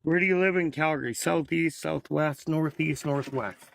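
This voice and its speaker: Brief: laid-back guy, gravelly fry in his voice, dry close sound. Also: a little high, normal speed, fairly bright tone, no room sound. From Alberta, Canada. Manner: laid-back, slightly gravelly, hesitant fillers. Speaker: guest. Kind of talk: casual livestream banter. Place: outdoors.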